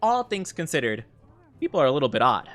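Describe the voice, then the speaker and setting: Male streamer, medium voice, teasing and mock-outraged with chat, slightly worn-out voice, soft music bed. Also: mid-range voice, very animated, quick pace. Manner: playful and mock-offended, bursts of laughter. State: losing his voice. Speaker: VTuber streamer. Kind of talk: casual live-stream chat with viewers.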